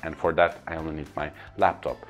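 Deep-voiced Turkish man vlogging. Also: little pitch movement, brisk, soft background music. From Turkey. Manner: earnest, upbeat, repeats lists for emphasis. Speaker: corporate trainer and speaker. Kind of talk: casual talking-to-camera vlog.

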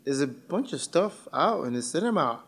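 A man's voice: fairly high pitch, sing-song, normal speed, slightly rough. A man chatting informally and slangily as a podcast co-host. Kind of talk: casual conversational chat.

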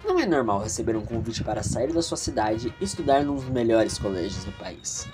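A man's voice: medium pitch, big pitch swings, brisk, music in the background. A man with a Brazilian accent narrating an audio drama in a theatrical, confiding way with chatty asides.

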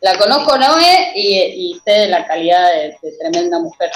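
A woman's voice: on the low side, big pitch swings, moderate pace, echoey room. A woman from Mendoza with a Cuyo Argentine accent, a radio columnist chatting casually, effusive and slangy. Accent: Cuyo (Mendoza) Argentine Spanish.

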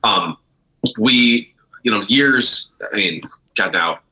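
A man's voice: lowish voice, takes it slowly, sharp tone, rough recording. A man chatting informally as an interview guest, often repeating and rephrasing himself. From Chicago area, USA. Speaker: brewery co-owner, podcast guest. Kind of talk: casual interview chat.

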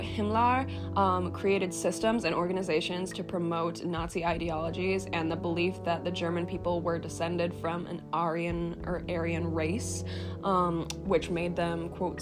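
A young woman with a stuffy, sniffly nose talks casually to camera, her voice sitting a little low. She fills her talk with ums, sarcastic asides and the odd swear word. Music plays clearly underneath. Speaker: tarot reader and video creator.

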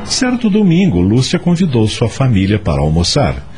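A man's voice: mid-range voice, wildly swooping pitch, normal speed. A man narrating a radio soap opera in a scripted, dramatic storytelling style. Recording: music under the voice. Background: background music.

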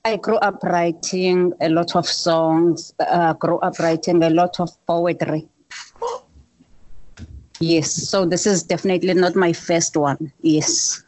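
A woman, a young musician, answering interview questions in slow, plain, repeated phrases. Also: on the low side, little pitch movement, a little mellow, no room sound, poor audio. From South Africa. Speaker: musician guest.

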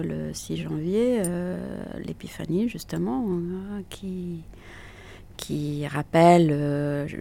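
Woman, middle-aged, very slow, gravelly, mellow low voice, thoughtful and hesitant. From Italy. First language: Italian. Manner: thoughtful, unhurried, frequent hesitations. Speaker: interviewee. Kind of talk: reflective personal testimony.

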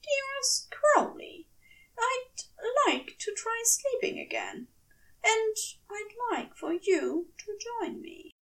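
A gentle, earnest male angel from a storybook, acted out by a woman, speaks high and a little away from the mic. The phrasing is prim, fussy and hesitant, lilting up and down with shy hope. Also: very animated, slow, far from the mic, full character voice, rough recording. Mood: tender, hopeful. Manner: prim, old-fashioned, hesitant wording.

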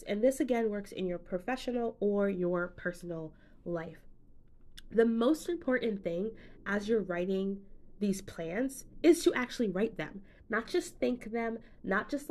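Woman podcast host and business coach, casual and upbeat, speaks straight to the listener. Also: mid-range voice. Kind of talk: solo motivational podcast talk.

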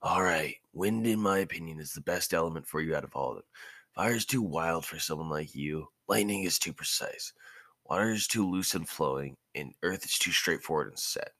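Man voicing a low, gravelly ninja sensei. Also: moderate pace, fairly bright tone, no echo, heavily acted. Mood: explaining. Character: dry, patient adult male ninja sensei. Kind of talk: fan fiction audiobook character voice.

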